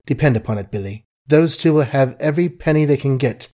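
Shrewd society lady of a novel, played by a male reader: emphatic, theatrical, mid-low voice. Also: brisk, mellow, natural voice. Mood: scornful. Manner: earnest, emphatic, dramatic. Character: shrewd, worldly society woman, theatrical and emotionally intense. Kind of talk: audiobook character acting.

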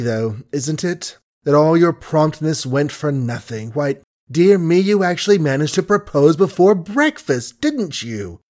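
A man's voice: on the high side, darkish tone, voice pushed up. Man raising his voice for a sarcastic young woman, honeyed and cutting, storybook reading. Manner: sweet, suave, cutting. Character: young woman, bitterly sarcastic, sweetly mocking. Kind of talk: audiobook character voice.

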